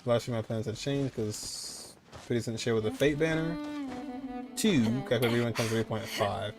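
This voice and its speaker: A man's voice: medium pitch, normal speed, music in the background. A man live-streaming a game, chatting casually and rambling as he answers viewers, often repeating his phrases.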